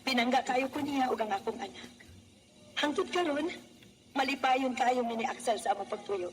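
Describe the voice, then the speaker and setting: A woman's voice: high-pitched, normal speed, slight background noise, close to an everyday voice. A woman with a Cebuano Filipino accent acting a tender young mother ashamed of her past in a radio drama. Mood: tender, grateful.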